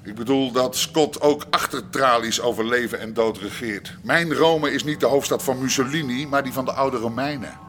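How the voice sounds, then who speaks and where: An actor playing a boastful, messianic convict who preaches grandly and talks about himself by name in a radio play. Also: male voice, medium pitch, moderate pace, fairly bright tone, faint music behind, lightly acted. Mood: haughty, preaching. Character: burn-scarred, boastful, messianic male prisoner with fascist leanings. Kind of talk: Dutch radio play acting. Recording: noisy radio drama recording.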